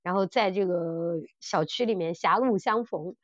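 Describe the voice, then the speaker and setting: A middle-aged woman co-hosting a podcast in casual chat, animated and blunt as she talks through audio dramas. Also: lowish voice, moderate pace, no room sound, lo-fi sound. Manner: animated and blunt, mimics characters' lines. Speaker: podcast host.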